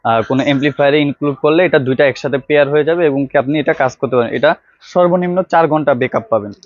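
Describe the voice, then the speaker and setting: A man's voice: on the high side, very fast, dry recording. A man with a Bangladeshi accent presents products in an informal, salesman-like way, addressing viewers directly on a lapel mic. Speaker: electronics shop vlog presenter. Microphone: clip-on wired lapel microphone. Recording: dry, slightly dull lo-fi sound.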